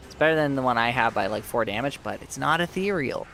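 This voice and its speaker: A man's voice: medium pitch, lively intonation, fast talker, fairly bright tone, slight background noise. A man streaming a game live, chatting casually with viewers while his sore throat leaves his voice fading. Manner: chatty, reads and answers viewers.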